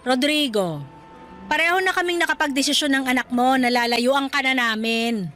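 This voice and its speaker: A hardworking, loving mother in her middle years. Her fairly high voice is affectionate and motherly in radio drama style, over faint music. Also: moderate pace, a bit bright. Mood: weary, firm. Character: loving, teasing, hardworking eatery-worker mother. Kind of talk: Filipino radio drama acting.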